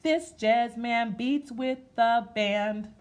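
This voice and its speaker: A woman hosting a children's storytime, reading aloud in an expressive, sing-song way and speaking to her listeners as friends. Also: a little high, very slow, dull audio. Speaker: storytime host reading a picture book aloud. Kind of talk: children's read-aloud with rhythmic sound effects and chatty asides.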